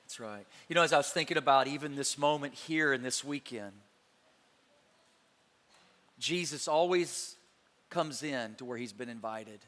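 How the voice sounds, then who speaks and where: A pastor preaching an Easter sermon, energetic and full of rhetorical questions that call the listeners to respond. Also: male voice, mid-range voice, moderate pace, long pauses. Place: large church auditorium. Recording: reverberant hall with faint music.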